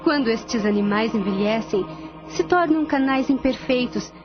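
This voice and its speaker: Atriz como instrutora espiritual serena, voz aguda. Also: female voice, fairly high pitch, moderate pace, natural voice. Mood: serene, instructive. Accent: Brazilian. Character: female spirit instructor, serene nun guiding students. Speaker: voice actor in a radio drama. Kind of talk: radio drama acting, didactic explanation. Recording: dull lo-fi audio. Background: music.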